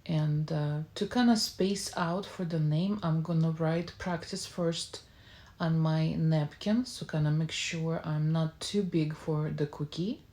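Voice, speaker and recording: A woman's voice: deep voice, steady tone, a little slow, warm tone. A woman hosting a baking tutorial, narrating each step casually with informal, slightly non-native English phrasing. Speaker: baking channel host.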